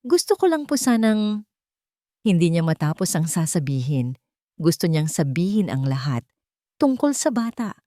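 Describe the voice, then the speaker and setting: A woman's voice: sits low, mellow, no room sound, studio quality. A woman reading a scripted, dramatic love story as a storyteller. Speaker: storyteller, narrator.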